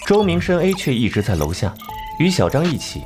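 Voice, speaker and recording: An actor playing a cool, sardonic genius detective in an animated crime drama, speaking in a measured, analytical way. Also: male voice, fairly high pitch. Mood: coolly explaining. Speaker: detective laying out a case. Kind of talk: animated crime drama acting, deductive exposition. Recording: music behind.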